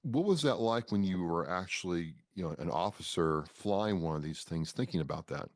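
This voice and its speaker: A man's voice: low-pitched, lively intonation, moderate pace, no room sound, studio quality. An American man hosting a podcast interview, talking in an enthusiastic, informal way and readily jumping in. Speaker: podcast host.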